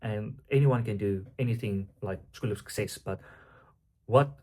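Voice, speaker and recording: A man's voice: lowish voice, moderate pace, darkish tone. A man from South Africa whose first language is Afrikaans, speaking English with an Afrikaans accent in an earnest, rambling, unscripted vlog monologue. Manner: rambling, earnest, thinking aloud. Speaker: vlogger and aspiring coach.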